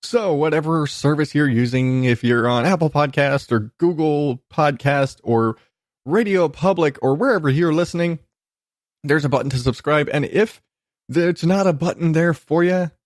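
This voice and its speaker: A man with a fairly high voice delivers a casual podcast monologue in a dry, close recording. He is emphatic and exasperated, and he swears freely. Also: no room sound. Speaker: podcast host. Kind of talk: casual ranting comedy monologue.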